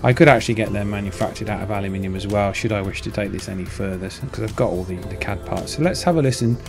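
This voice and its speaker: Low-pitched guy chatting through a diy project, pretty modest, guitar music going behind him. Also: quick pace, creaky. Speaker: maker presenting his hobby build. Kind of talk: casual how-to narration.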